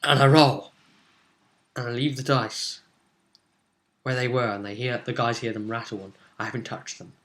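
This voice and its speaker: A man in his twenties recapping a tabletop role-play session as game master, casual and excitable, rambling and acting out players' lines, recorded in a room at home. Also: mid-range voice, moderate pace, pauses often. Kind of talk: casual animated storytelling to camera.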